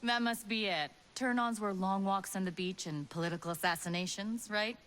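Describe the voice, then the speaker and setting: An actress playing a tough, sardonic rebel leader in a video game, wry and resolute. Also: female voice, mid-range voice, fairly even intonation, normal speed, a bit bright, lightly acted. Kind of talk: video game cutscene acting.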